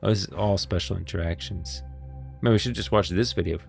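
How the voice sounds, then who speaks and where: A man's voice: low voice, brisk, creaky, soft background music. A man giving casual, opinionated live-stream commentary, thinking aloud with emphasis.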